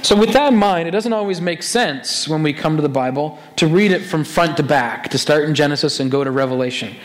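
Male pastor, raspy and hoarse, fairly high voice, chatty sermon delivery full of restarts. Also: a little fast. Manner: conversational, animated with many restarts.

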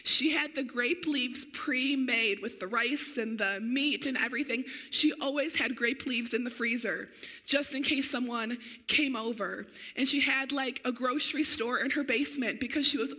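A woman pastor preaching a sermon in an energetic, conversational way, her voice a little weak and often clearing her throat. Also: on the high side, normal speed.

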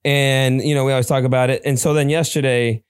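A man's voice: medium pitch, level tone, fairly quick, smooth, dry recording. A man whose first language is Spanish, chatting casually as a podcast co-host and rambling with many restarts and fillers. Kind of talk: casual chat.

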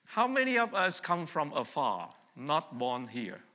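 A middle-aged man from Vietnam gives a sermon in a church hall, and his voice rings out through a long, echoing reverb. His tone is very bright and a little high for a man. He keeps it conversational, putting searching questions to the congregation. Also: wildly swooping pitch, measured pace. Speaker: pastor. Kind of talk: sermon with congregation call-and-response.

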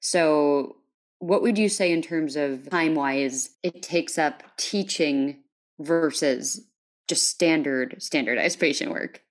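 A man's voice: fairly high pitch, takes it slowly. A man hosting a podcast, interviewing in a conversational way with wordy questions that he rephrases as he goes. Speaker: podcast host.

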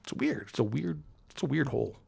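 A man's voice: on the high side, big pitch swings, brisk, creaky, mellow, breathy edge. A man co-hosting a podcast, talking in a loose, teasing, rambling way with lots of fillers. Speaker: podcast co-host. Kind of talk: casual crude chat.

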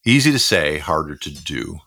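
A man co-hosting a podcast, talking in an earnest, measured way with fillers and restarts. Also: very deep voice, big pitch swings, vocal fry, sharp tone, dry recording, studio quality. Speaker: podcast co-host. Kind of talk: reflective podcast conversation.

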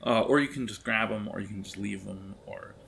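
Man, game master, creaky voice, casual tabletop narration, hesitant rambling phrasing, faint noise. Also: on the low side, a little fast, fairly bright tone.

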